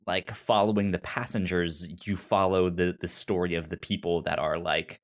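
A man's voice: deep voice, level tone, normal speed. A man co-hosting a podcast in casual review chat, often stammering and repeating words and syllables. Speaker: podcast co-host.

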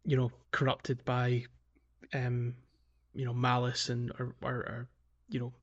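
A man with a mid-pitched voice hosts a gaming podcast in casual conversation. He talks haltingly, starting phrases over and letting some trail off. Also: monotone, highs cut off. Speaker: podcast host.

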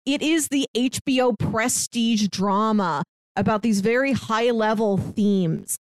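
A woman's voice: on the high side, slow, clean tone, no echo. A woman co-hosting a podcast, chatting casually about a TV show, often laughing between phrases. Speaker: podcast co-host. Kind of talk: casual chat reviewing a TV show.

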